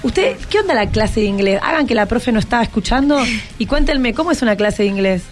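A woman's voice: on the high side, animated, fairly quick. A woman with an Argentine Rioplatense accent, hosting a casual radio interview with students. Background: music.

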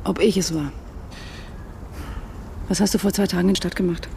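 A woman's voice: fairly low pitch, steady tone, normal speed, gravelly, mellow, slight background noise. An actress playing a troubled, mistrustful wife in a naturalistic radio drama, restrained and intimate in her delivery. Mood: suspicious, probing.

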